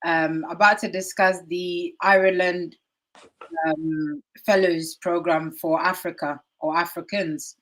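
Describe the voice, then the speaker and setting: A woman hosting an online interview show, unhurried and filler-heavy, her voice affected by a cold. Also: on the low side, steady tone, a bit bright, low-quality recording. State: has a cold. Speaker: host.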